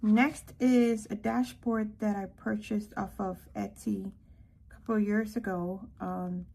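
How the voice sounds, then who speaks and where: A woman from Ghana giving a casual walkthrough narration in an unhurried way, with hesitant pauses. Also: mid-range voice, level tone, creaky, mellow, slight background noise, rough recording. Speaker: planner vlogger.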